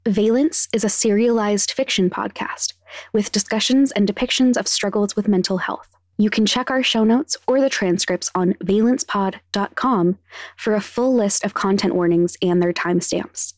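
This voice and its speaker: Female announcer, medium-pitched, scripted advisory narration, very dry studio sound. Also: moderate pace, no echo. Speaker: podcast announcer.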